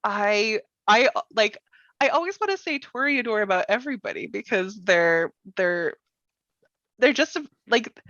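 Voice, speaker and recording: A woman's voice: medium pitch, lively intonation, a little slow, a bit bright, no echo, dull audio. A woman hosting a workshop in casual chat, with an informal, giggly manner and tentative phrasing. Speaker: workshop host.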